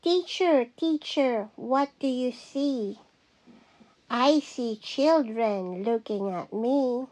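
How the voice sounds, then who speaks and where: Female teacher for picture-book read-aloud: high voice, very slow delivery, singsong repeated phrasing. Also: darkish tone, poor audio. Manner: singsong, deliberate repetitive phrasing.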